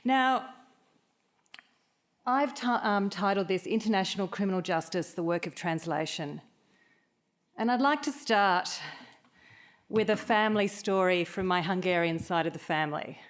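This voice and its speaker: A woman's voice: mid-range voice, normal speed, pauses often. A woman academic delivering a prepared conference talk in a narrative, storytelling style.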